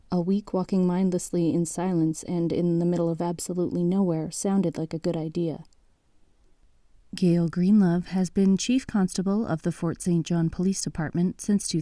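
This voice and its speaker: Woman playing documentary narrator lady, lowish really mellow voice, measured and matter of fact, grim mystery audio drama, super dry sound. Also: dry recording, natural voice. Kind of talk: scripted documentary-style narration.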